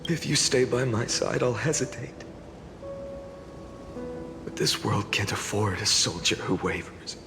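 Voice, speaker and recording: Slow, low, solemn young warrior hero. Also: male voice, animated. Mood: grave, resolute. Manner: measured, earnest, heavy with pauses. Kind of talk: dramatic video game voice acting. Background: music behind the voice.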